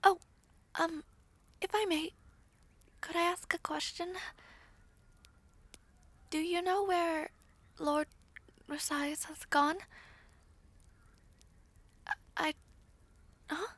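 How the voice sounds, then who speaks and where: A woman playing a shy, humble young former maid in an audio roleplay, soft-spoken, hesitant and formally polite. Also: extremely high pitch, fairly even intonation, slow, long pauses, dry recording, heavily acted. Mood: shy, curious. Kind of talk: scripted audio roleplay acting.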